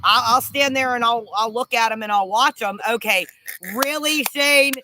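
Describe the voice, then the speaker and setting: A woman co-hosting a casual live-stream chat, telling animated stories bluntly, with some casual swearing. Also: on the high side, moderate pace, very noisy. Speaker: homestead channel co-host.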